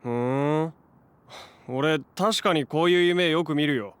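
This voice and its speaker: An actor playing a brash, warm-hearted young man with casual, slangy speech in a Japanese audio drama. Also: fairly high pitch, slow, clear, resonant tone. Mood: puzzled, curious.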